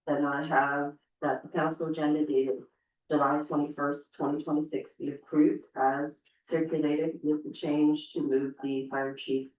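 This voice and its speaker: A council chair running formal meeting procedure in a brisk, procedural manner. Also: medium pitch, darkish tone, a little hiss or hum. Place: council chamber. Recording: echoey distant room mic, dull.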